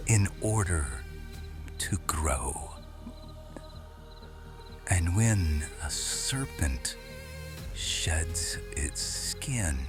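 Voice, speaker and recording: A man playing a quiet, watchful serpent shifter guardian in an intimate ASMR roleplay, hushed and deliberate, often slipping into whispers. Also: sits low, exaggerated voice.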